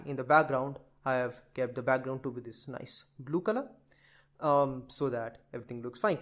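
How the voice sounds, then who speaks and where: A man giving an informal screen-share coding tutorial, rambling and chatty as he talks straight to his viewers. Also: medium pitch. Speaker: programming tutorial presenter.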